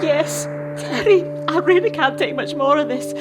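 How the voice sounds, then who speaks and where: Audio drama: homely, emphatic housekeeper, female actor, high lively voice, prominent music underneath. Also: normal speed, close to an everyday voice. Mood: frightened, exasperated. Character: level-headed but frightened, forthright housekeeper wife.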